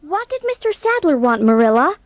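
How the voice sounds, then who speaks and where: A woman playing an earnest, impulsive, warm-hearted teenage orphan girl in an audiobook drama, speaking with eager emotion. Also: sits high, big pitch swings, a little fast, no room sound. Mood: concerned.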